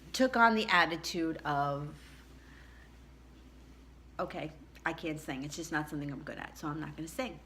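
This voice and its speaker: A middle-aged woman with a low contralto voice telling a candid personal story in a chatty way, laughing often. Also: sharp tone. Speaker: vlogger.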